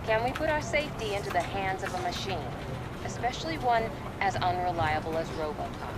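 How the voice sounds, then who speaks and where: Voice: mid-range voice, lively intonation, heavy background noise, close to an everyday voice. An actor playing a probing TV news anchor. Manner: measured, probing. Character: news reporter on a TV broadcast. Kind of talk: scripted news report.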